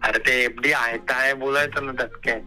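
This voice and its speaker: A man's voice: medium pitch, steady tone, normal speed, music in the background. A young man chatting casually on a phone call, unruffled and asking questions. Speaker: prank call recipient.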